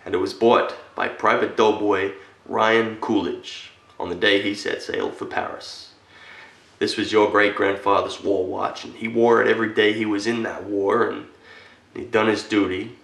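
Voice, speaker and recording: A solemn military veteran, played by a man, speaks in a low, creaky voice in an echoey room. He delivers a dramatic film monologue as an earnest storyteller addressing a young boy, pausing deliberately between phrases. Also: fairly bright tone, far from the mic. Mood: earnest storytelling.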